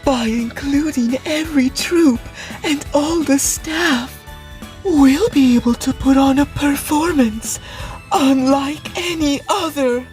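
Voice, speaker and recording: Casting: woman as polite young male anime show director, high-voiced, unhurried, deliberate and quietly scheming. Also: soft background music. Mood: composed, confident. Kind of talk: anime fan dub acting.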